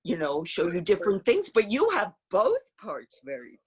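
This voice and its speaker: A woman's voice: mid-range voice, huge pitch swings, normal speed, slightly rough. A woman from Israel who moved to New York as a child, hosting a casual interview in an effusive way, often repeating phrases for emphasis. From Israel, moved to New York at age four. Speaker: storytime host.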